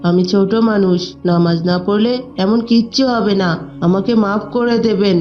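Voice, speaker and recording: A woman's voice: medium pitch, moderate pace, soft background music, lightly acted. A woman voicing a sleepy, reluctant young boy who protests in a whine in an Islamic cartoon, in a dull recording. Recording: dull lo-fi audio.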